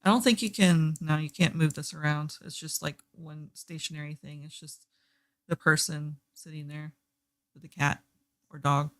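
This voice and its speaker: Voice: medium pitch, fairly even intonation, normal speed, no room sound. Chatty Southern-accented streamer, dry close sound. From Texas. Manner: chatty, rambling, many fillers and restarts. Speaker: streamer hosting a reading sprint. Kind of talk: casual livestream chat. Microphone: desk microphone, headset off.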